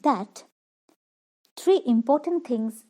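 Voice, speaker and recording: A woman's voice: high-pitched, lively intonation, slow, mellow. A woman explaining a poem line by line as a literature teacher, repeating and rephrasing each point.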